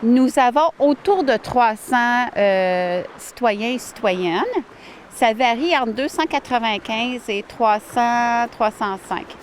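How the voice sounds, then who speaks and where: A woman, answering interview questions warmly and earnestly outdoors by a lake, with many fillers and restarts. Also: on the high side, slow pace, slight background noise. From Ottawa, Canada. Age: 40s. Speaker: village mayor, interviewee.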